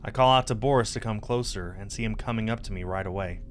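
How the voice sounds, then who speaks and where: A man's voice: on the low side, fast, a little hiss or hum. A man in his thirties reading visual novel narration aloud as a streamer, close to the mic. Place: indoor streaming setup. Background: game music.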